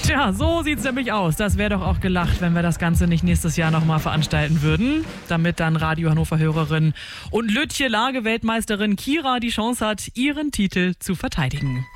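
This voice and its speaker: Male breakfast radio host, chatty and upbeat, fairly high voice. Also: expressive, a little fast, music in the background.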